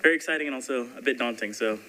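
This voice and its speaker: A man from Nashville, a community organizer, introducing himself at a meeting in a friendly, excited way. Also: mid-range voice, very fast, a little hiss or hum, poor audio. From Nashville, Tennessee.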